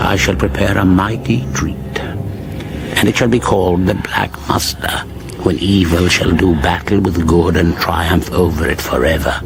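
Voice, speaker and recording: An actor playing a solemn, portentous storyteller, giving deadpan mock-biblical narration for a radio comedy. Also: male voice, sits low, vocal fry, exaggerated voice. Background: music.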